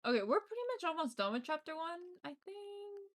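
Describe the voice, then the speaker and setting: A woman's voice: extremely high pitch, sing-song, a little fast. A woman game streamer talking casually to her chat, rambling with frequent ums and the odd swear word. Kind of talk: casual live-stream chat while playing.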